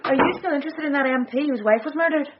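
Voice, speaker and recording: Woman as a high, quick street informant. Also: lightly acted. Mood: eager, casual. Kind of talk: radio drama acting. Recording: muffled noisy radio recording.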